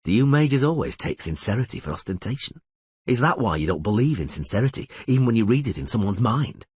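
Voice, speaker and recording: A man voicing a gruff, wry witcher in an audiobook reading, in a husky voice with a low, deadpan manner. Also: fast, no echo.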